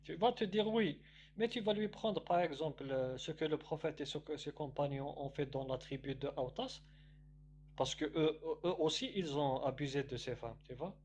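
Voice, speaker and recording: A man's voice: fairly high pitch, normal speed, a little mellow. A man who is a native Arabic speaker, debating casually and often restarting his phrases. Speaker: debate panelist. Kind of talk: casual live debate chat. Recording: noisy mic with a hum.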